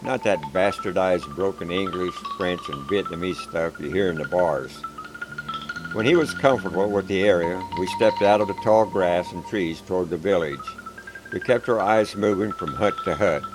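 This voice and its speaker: Deep-voiced man narrating over music. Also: moderate pace, low-quality recording. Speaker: storyteller. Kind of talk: scripted first-person narration. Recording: background music.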